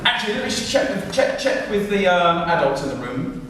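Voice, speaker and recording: A man telling a story live to an audience of children and adults, animated and dramatic, putting questions to his listeners. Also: sits high, brisk. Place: reverberant room with a live audience. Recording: echoey hall, distant mic, noisy.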